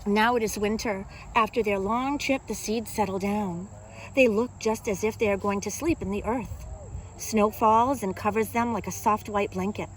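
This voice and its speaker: A woman reading a picture book aloud to young children as a kindergarten teacher, in an expressive, teacherly way. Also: medium pitch, normal speed, slight background noise, low-quality recording. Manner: expressive, simple teacherly delivery with questions to young listeners.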